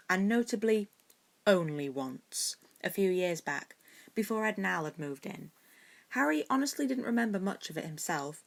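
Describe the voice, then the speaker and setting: A woman reading scripted fiction as a story narrator. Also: deep voice.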